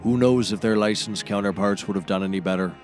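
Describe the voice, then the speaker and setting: Man acting low-voiced shady comedy sidekick. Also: fairly even intonation, quick pace, soft background music, lightly acted. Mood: matter-of-fact reminiscing. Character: gruff male sidekick, shady ex-mercenary turned product pitchman. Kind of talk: scripted comedy audio drama.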